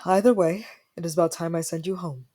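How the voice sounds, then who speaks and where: A woman playing a proud young ice prince in an ASMR fantasy roleplay, haughty and stammering when flustered, then softening. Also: lowish voice, brisk, close to an everyday voice. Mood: composed, softening.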